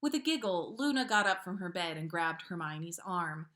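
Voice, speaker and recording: A woman reading a story aloud as an expressive narrator, like a podcast host sharing fan fiction. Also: on the low side, moderate pace.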